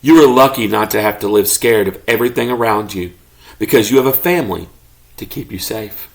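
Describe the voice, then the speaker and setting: A man reading a children's story aloud expressively, as a parent does to a child, pausing to ask questions. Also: fairly low pitch, vocal fry. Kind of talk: expressive children's read-aloud, narrating as a pet dog.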